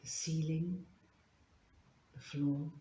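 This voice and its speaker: A woman leading a guided yoga nidra meditation in a gentle, soothing, hushed manner, leaving long pauses. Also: deep voice, steady tone. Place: indoor room at a retreat, live session. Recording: roomy echo, faint background noise.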